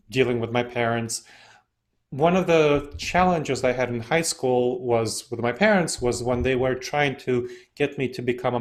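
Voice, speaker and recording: A man speaking English as a second language, answering interview questions with relaxed personal stories. His voice is mid-pitched, and he laughs easily as he goes. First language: not English. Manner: relaxed, laughs often while telling stories. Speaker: interview guest, decision-making expert. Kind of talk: casual interview storytelling.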